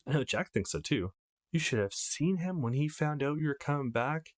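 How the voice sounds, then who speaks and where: A man voicing a kindly, motherly older woman in a visual novel, gently fussing and reassuring. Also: a little high, lively intonation, moderate pace, no room sound, studio quality, pitched above the performer's own voice, natural voice. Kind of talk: visual novel character reading.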